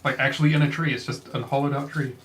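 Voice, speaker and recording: A man's voice: medium pitch, fairly even intonation, fast, a bit bright. A man playing a tabletop game, chatting casually with the group. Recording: clear background noise with clicks.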